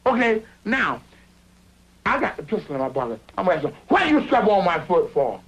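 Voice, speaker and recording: An actor playing an excitable, worrying construction worker who rambles insistently, stammering and repeating himself in conversational cartoon dialogue. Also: male voice, high-pitched, fairly quick, breathy edge, full character voice, low-quality recording. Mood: agitated. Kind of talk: improvised conversational dialogue in an animated short.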